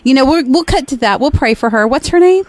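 A woman on a prank call with a sugary, needling manner, pitched a little above most women. She keeps up a sweet phone-survey act while teasing the person she has on the line. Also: expressive, fast talker, darkish tone. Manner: sugary, teasing, calls her honey and sweetheart. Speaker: radio co-host making a prank call.

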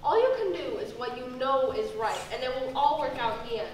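A woman's voice: sits high, lively intonation, fairly quick. A woman on an amateur stage playing a shopper, kindly but prone to frantic conspiracy belief, with projected delivery, recorded by a far camera. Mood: reassuring. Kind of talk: amateur stage comedy acting. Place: indoor stage before a live audience. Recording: echoey theatre, distant camera, noise.

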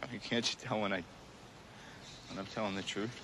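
A weary, troubled grown son pleads in a film drama. His voice is lowish and subdued, halting and earnest. Faint noise runs behind it. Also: fairly even intonation, bright, forceful tone. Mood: pleading.

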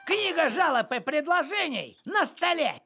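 An actor playing a cranky, officious older village postman in an animated cartoon, excitable and fussy. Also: very high voice, measured pace, gravelly, faint music behind, heavily acted.

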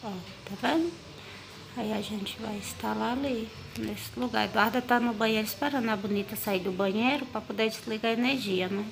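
High-pitched Brazilian woman vlogging. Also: moderate pace, creaky, background noise. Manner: informal, showing and explaining as they go. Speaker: home vlogger. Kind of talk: casual chat to viewers. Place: home kitchen, indoors. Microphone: handheld phone or camera, held by the speaker.

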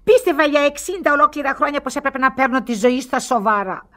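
High-voiced, effusive old society lady. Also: a bit bright. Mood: bored, mischievous. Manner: theatrical, effusive. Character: whimsical, bored, aristocratic lady in her sixties. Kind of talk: radio play acting.

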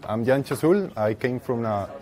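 A young man with a Costa Rican Spanish accent giving a technical presentation as a conference speaker, haltingly, with pauses mid-phrase. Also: medium pitch, lively intonation, dark tone. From Costa Rica. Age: young adult. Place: lecture room with seated audience, indoors.

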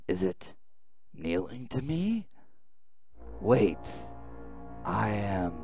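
A man voicing a weary, fearful young man who narrates his ordeal, reading visual novel text with halting, dramatic phrasing. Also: sits low, creaky, exaggerated voice. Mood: awed, determined.

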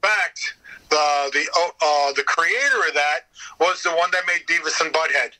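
A man's voice: on the high side, moderate pace, bright, forceful tone, rough recording. An American man telling anecdotes in a casual, rambling, profane interview chat as a voice actor. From United States. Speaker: podcast guest, radio theater voice actor.

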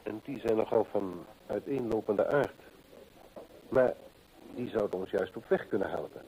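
An actor playing a keen, methodical gentleman detective in an old radio play, deliberate and rhetorical as he reasons aloud. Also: on the low side, natural voice, low-quality recording. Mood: analytical, intent.

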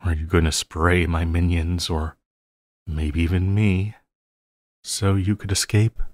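A man playing a possessive supervillain lover in an intimate audio roleplay, coaxing and pleading with a halting delivery and quiet menace. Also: low voice, flat delivery, dry recording. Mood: suspicious, wounded.

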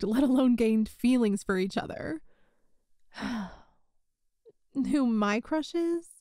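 A woman's voice: on the high side, warm tone, dry recording, clean studio sound. A woman playing a friend's chatty, teasing older sister in a casual, giggly roleplay audio. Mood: flustered, evasive. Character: best friend's older sister, playful, teasing, secretly smitten. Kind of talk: roleplay audio acting, one side of a conversation.

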